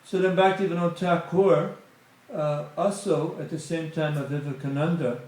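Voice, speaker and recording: A man's voice: a little high, fairly even intonation, moderate pace, darkish tone. A middle-aged man giving a conversational spiritual talk at a temple gathering, full of "you know" asides. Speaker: guest speaker at a temple satsang. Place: temple hall. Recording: very echoey hall.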